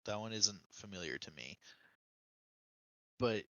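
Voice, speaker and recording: A man with a low voice talks games on a podcast. The tone is strikingly bright and forward despite the depth, with a very dry sound. He explains things in a casual, conversational way. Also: animated, slow, no room sound, highs cut off. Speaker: podcast co-host explaining game lore. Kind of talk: casual podcast discussion of a video game.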